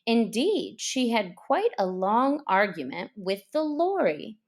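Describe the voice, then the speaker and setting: Very slow, expressive woman storyteller. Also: fairly high pitch. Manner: expressive, deliberate. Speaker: storybook reader. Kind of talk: scripted children's story narration.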